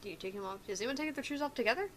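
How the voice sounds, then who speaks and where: A woman co-hosting a gaming show, chatting casually and heckling the narration with quick banter. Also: a little high, lively intonation, a little hiss or hum. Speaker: co-host commenting on a game.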